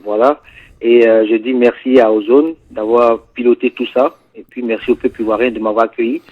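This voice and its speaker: A man's voice: medium pitch, fairly quick, highs cut off. Ivorian man in a radio interview. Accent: Ivorian French. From Ivory Coast. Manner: relaxed, peppers talk with "yes, sir". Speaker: guest singer. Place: web radio studio.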